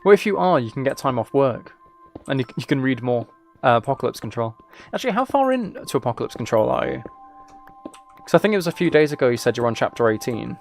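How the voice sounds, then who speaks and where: A man's voice: medium pitch, sing-song, quick pace, gravelly, soft background music. A twenty-year-old man chatting casually as he streams a game, rambling along in a joking, teasing way. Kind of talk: casual chat while playing a game.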